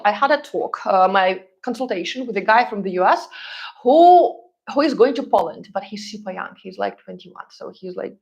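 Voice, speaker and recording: Chatty Russian-native woman hosting a live Q&A. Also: medium pitch. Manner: chatty, hesitant, many fillers and restarts. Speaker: relocation consultant and host. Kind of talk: live stream Q&A with viewers.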